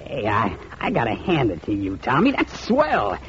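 Nervous high-voiced stooge, stammering, wildly swooping pitch, 1940s radio play, dull old recording. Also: male voice, wildly swooping pitch, normal speed, fairly bright tone, a little hiss or hum. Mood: jittery. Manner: nervous, stammering, eager to please. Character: jittery high-voiced middle-aged male gang stooge.

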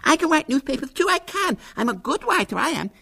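A shrill little girl of six as put on by a male narrator, pitched very high with a lisp. She is sweetly wilful and insistent, pleading and threatening her way through a storybook tale. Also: big pitch swings, vocal fry, sharp tone, heavily acted. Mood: plaintive. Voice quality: shrill, lisping. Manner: plaintive, insistent, drawn-out. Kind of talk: audiobook character voice.

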